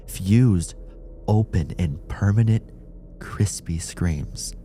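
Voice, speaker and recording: Male audiobook narrator for horror: low register, unhurried, suspenseful, dramatic scripted read. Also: mellow, slight background noise. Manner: deliberate, suspenseful.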